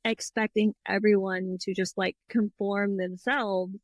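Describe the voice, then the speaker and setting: A woman's voice: mid-range voice, flat delivery, measured pace, dry recording. A woman co-hosting a casual chat, talking with frequent fillers and agreeable backchannels. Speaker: podcast co-host.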